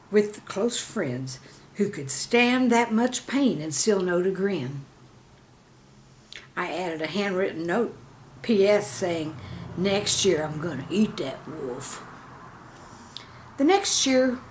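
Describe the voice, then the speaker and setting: A woman reading scripted stories and verse as a channel host, with a measured, deliberate delivery. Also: lowish voice, slight background noise. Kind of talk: scripted storytelling and poem reading.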